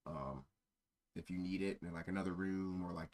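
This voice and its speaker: A man's voice: low-pitched, moderate pace, a little mellow. A man streaming casual chat in a rambling, easily sidetracked way. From born in Germany. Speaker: live streamer.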